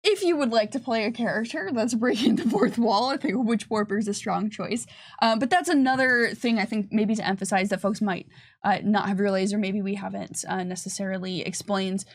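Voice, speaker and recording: A woman's voice: medium pitch, brisk. A woman chatting casually as a game developer on a livestream, explaining things with enthusiasm. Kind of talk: casual chat.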